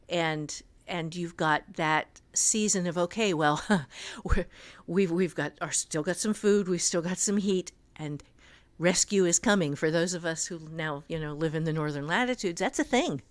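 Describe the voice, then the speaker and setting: Podcast co-host: woman with a lowish voice, explanatory and deliberate in casual conversation. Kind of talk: casual discussion of fiction writing.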